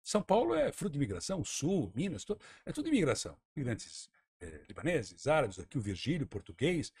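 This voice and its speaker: A man's voice: medium pitch, huge pitch swings, brisk, a little mellow, husky. A man from Santa Catarina, Brazil, a former tourism minister, speaking emphatically and argumentatively as a guest on a radio talk-show interview. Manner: emphatic, argumentative, repeats words while thinking.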